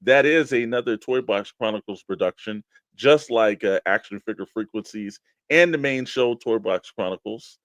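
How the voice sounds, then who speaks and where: A man's voice: lowish voice, moderate pace, a bit bright, dry recording. A man co-hosting a toy collectors' show, bantering casually and plugging programs with emphatic hype. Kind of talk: casual radio-style show banter and plugs.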